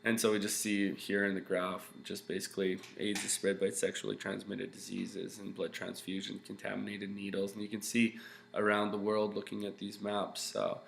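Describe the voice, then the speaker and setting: A man's voice: on the low side, completely flat delivery, moderate pace, vocal fry, fairly bright tone. A young man with a congested, nasal voice, giving a recorded slideshow lecture as a teacher and talking loosely with lots of fillers and "okay"s. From Canada. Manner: loose, explaining with many fillers and okays. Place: small room at home, indoors. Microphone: webcam. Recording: echoey room with faint hum.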